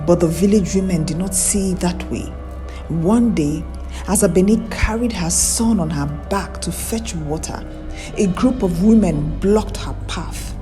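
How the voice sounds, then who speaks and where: A woman narrating a scripted folktale in a measured, dramatic storytelling manner. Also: on the low side, over music.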